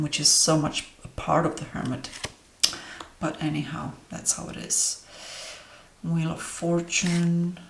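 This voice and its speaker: A woman's voice: deep voice, fairly bright tone, roomy sound. A woman whose first language is Romanian, talking casually through a tarot deck review with many fillers and self-corrections. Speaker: tarot reader. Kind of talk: casual deck review while showing cards.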